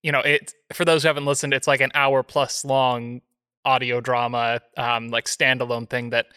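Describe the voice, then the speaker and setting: A man's voice: medium pitch, level tone, moderate pace, pushed and bright, smooth, no echo, studio quality. A man in a casual podcast conversation between writers, speaking in a thoughtful, tentative and agreeable way. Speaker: podcast panel participant, writer.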